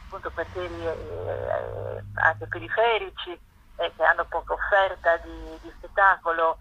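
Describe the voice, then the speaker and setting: A woman with a bright, forceful voice, interviewed over a dull telephone line. She explains a programme informally, often pausing to find the next word. Her voice has a marked creak. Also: low-pitched, sing-song, dry recording. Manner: hesitant, searching for words as she lists things. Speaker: festival curator, interview guest.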